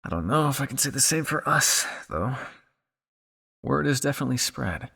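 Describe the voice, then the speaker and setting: A man playing a weary, guilt-ridden old vampire scientist in an ASMR roleplay, speaking to the listener in a measured, dryly formal way. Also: medium pitch, sing-song, creaky, sharp tone, long reverb tail, exaggerated voice. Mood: somber, dry.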